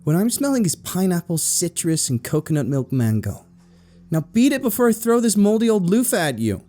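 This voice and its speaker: A man's voice: high-pitched, very animated, a little fast, mellow, pitched above the performer's own voice. A man voicing an irritable, bossy older sister in a horror story, grumpy, defensive and dismissive. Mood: defensive, stiff.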